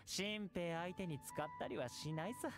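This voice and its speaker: A man playing a confident, easygoing young warrior and caring elder brother in an anime game, calm and teasing. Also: high-pitched, normal speed, music in the background. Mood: light, teasing. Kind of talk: anime video game acting.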